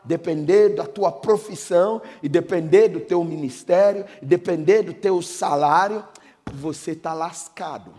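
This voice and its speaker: A middle-aged Brazilian pastor preaching with a high, bright voice. He drops to a hushed storyteller's tone, then builds to shouted declarations. A long church-hall reverb trails every phrase. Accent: Brazilian Portuguese. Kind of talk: sermon with personal testimony.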